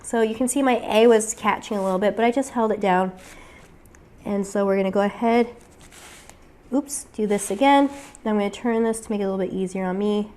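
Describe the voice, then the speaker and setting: A woman's voice: mid-range voice, brisk, mellow. A woman with a weak voice from getting over a cold, chatting casually through a step-by-step crafting tutorial with frequent fillers.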